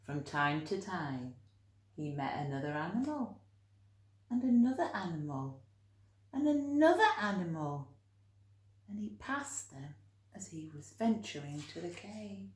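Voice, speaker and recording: A woman reading a children's story expressively, with dramatic emphasis and drawn-out key words. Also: fairly low pitch, very animated, reverberant.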